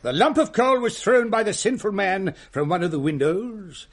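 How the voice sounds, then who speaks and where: Pompous, high-voiced English gentleman host. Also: animated, normal speed. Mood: solemn, self-important. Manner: pompous, wordy, easily exasperated. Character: pompous, testy Victorian uncle hosting Christmas ghost stories. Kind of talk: period radio drama acting.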